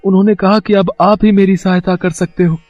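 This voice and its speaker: Man playing village lad, high, respectful, earnest, cartoon style, muffled recording, music behind. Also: level tone, a little fast, a little mellow, no room sound, natural voice. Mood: pleading. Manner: pleading, respectful. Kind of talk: cartoon moral story. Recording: dull, lo-fi sound.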